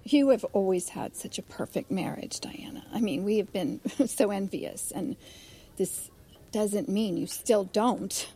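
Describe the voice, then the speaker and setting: A woman playing an excitable, giggly married girlfriend in an audio drama, with a gossipy, animated delivery. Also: medium pitch, a little slow, breathy edge, rough recording. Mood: soothing, earnest. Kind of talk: naturalistic audio drama dialogue. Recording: music playing behind the voice.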